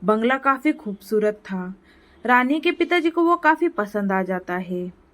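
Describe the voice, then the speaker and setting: Casting: female narrator with a high voice for scripted moral stories. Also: animated, unhurried, fairly bright tone. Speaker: storyteller.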